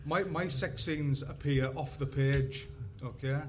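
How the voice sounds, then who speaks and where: A man's voice: mid-range voice, slow, slight background noise. A man moderating a casual panel discussion, speaking in an informal, joking manner. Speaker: panel moderator and crime writer.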